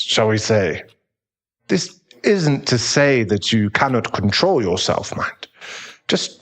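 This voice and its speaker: Guy playing a calm therapist, slow and careful, tactful, kinda formal, audio roleplay. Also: medium pitch. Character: calm, measured male therapist, tactful and professional.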